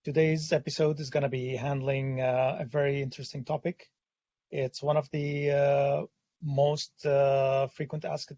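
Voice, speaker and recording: A hoarse man who has lost his voice presents a technical webinar, pausing often with "uh" fillers. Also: medium pitch, a little mellow, no room sound, lo-fi sound. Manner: hesitant, many "uh" fillers. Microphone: studio condenser microphone.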